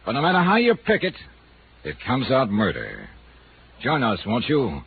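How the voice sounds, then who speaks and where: A man's voice: mid-range voice, wildly swooping pitch, normal speed, gravelly, a little hiss or hum. A man playing a wry, easygoing insurance investigator in 1950s radio detective style, with an American accent and casual, wisecracking delivery. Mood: showman-like. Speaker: radio drama lead and narrator. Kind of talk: 1950s radio detective acting, first-person narration. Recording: tinny 1950s radio recording with music.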